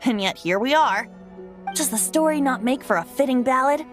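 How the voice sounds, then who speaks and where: A woman's voice: high voice, expressive, normal speed, music in the background. A woman playing a cheeky, theatrical boyish young bard who is secretly a god, in a video game. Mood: sly, breezy. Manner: theatrical, playfully grandiose. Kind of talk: video game character acting.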